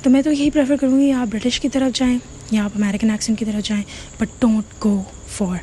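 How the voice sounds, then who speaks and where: A woman with a Pakistani Urdu accent talks viewers through English-learning tips in a relaxed, chatty way, checking in often and slipping English words into her speech. Her voice sits a little high yet sounds soft and mellow. A faint hiss runs under the recording. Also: fairly quick. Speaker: online English teacher. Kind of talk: casual advice talk to viewers.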